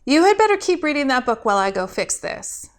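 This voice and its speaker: A brisk, exacting angel boss acted out by a woman storyteller. The delivery is authoritative and full of pointed questions, with lively swoops in a fairly high voice. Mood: demanding.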